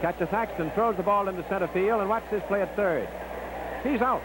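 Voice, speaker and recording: A man's voice: high voice, brisk, background noise. A man narrating baseball play-by-play in 1950s newsreel style, on an old recording.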